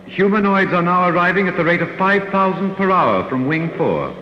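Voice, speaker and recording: An actor playing a polite, implacable humanoid robot servant in an old radio drama, speaking formally and deferentially. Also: male voice, sits high, expressive, moderate pace, highs cut off, slight background noise. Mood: calmly insistent. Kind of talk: 1950s radio science fiction acting.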